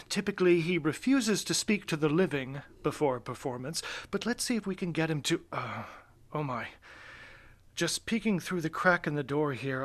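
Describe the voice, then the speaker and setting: A man's voice: a little high, fairly bright tone. A man hosting a live audio play, narrating backstage in a playful, effusive, mock-suspenseful theatrical manner. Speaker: host, director and adapter of a live audio play.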